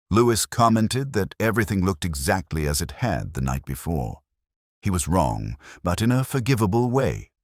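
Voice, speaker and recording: A man playing a reflective, melancholy police inspector, narrating a mystery audio drama in a measured, literary way. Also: very deep voice, no room sound, clean studio sound, full character voice. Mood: pensive, watchful.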